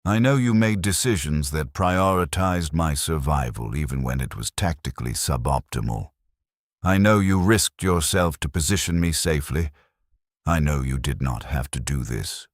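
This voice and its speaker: A man's voice: rumbling low, expressive, normal speed, no echo, studio quality. A man voicing an earnest, loyal young soldier in a narrated war story, solemn and insistent. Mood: grateful.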